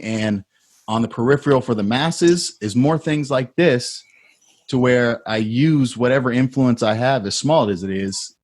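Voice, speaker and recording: A man on a podcast panel speaking earnestly and with emphasis, with a husky voice. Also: medium pitch. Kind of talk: panel discussion.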